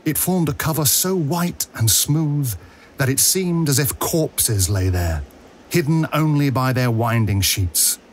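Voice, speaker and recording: A man's voice: medium pitch, animated, unhurried, a little hiss or hum, lightly acted. A man narrating a ghostly tale for an audio drama in a theatrical storytelling manner.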